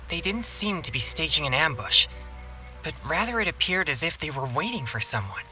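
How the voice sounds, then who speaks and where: An actor playing an earnest, observant young exorcist in a video game, reasoning things out calmly. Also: male voice, on the high side, normal speed, music in the background.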